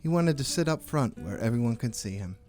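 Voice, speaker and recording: An actor playing a kindly, devoted father and humble clerk in a radio play, gentle and earnest. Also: mid-range voice, lively intonation, a little fast, soft background music, natural voice. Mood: tender.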